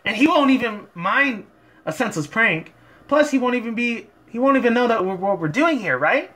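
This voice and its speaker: A man voicing an excitable, chatty cartoon pony girl, a bouncy prankster, for a story reading. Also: extremely high pitch, moderate pace, pitched above the performer's own voice, full character voice. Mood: hyped, eager.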